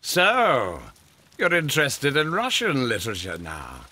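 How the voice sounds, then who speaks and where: An actor playing an imperious, calculating Victorian government gentleman in a video game, pompous and deliberate, with a British accent. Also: mid-range voice, wildly swooping pitch, full character voice. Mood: probing, measured. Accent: British English. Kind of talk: video game cutscene acting.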